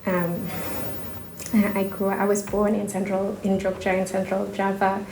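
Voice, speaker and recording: Woman from Indonesia, researcher guest, gravelly edge, halting and thoughtful, noisy echoey room. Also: mid-range voice, completely flat delivery. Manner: thoughtful, many fillers and restarts. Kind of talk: casual interview talk.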